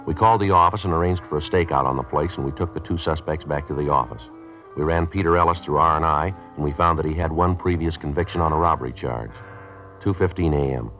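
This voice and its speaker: A dry, businesslike American police sergeant in a vintage radio crime show. His voice is extremely deep, and he speaks fast in clipped, deadpan phrases. The sound is dull and lo-fi. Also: faint music behind. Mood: deadpan, factual. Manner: clipped, deadpan, matter-of-fact. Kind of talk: old-time radio police drama, narration and dialogue.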